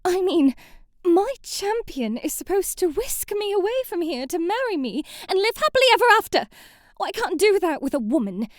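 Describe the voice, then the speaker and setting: A woman's voice: extremely high pitch, very animated, moderate pace, dry recording, studio quality. A woman playing a haughty, sheltered young princess in a scripted ASMR roleplay, prim and bratty, with formal, old-fashioned wording and an easily flustered temper. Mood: haughty, indignant.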